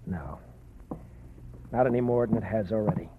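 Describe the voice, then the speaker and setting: A man's voice: on the low side, normal speed, heavy background noise, natural voice. An actor playing an earnest, anxious young man from a small town who pleads for help, in 1940s radio acting. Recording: tinny old radio broadcast.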